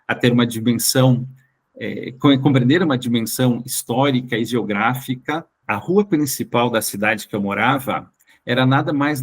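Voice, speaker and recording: A man with a Brazilian accent and a mid-range voice tells of his own experiences as a guest. He speaks in a halting way, repeating and rephrasing words as he goes. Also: mid-range voice. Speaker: interview guest. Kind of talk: recounting personal experiences in an interview.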